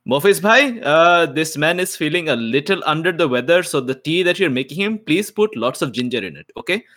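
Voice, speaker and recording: Casting: man as an over-polite sword school master in a tabletop game, nervous charm, ornate courtesy, halting delivery. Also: fairly high pitch, lively intonation, a bit bright, voice pushed up. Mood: polite, covering. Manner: flowery, over-polite, faltering.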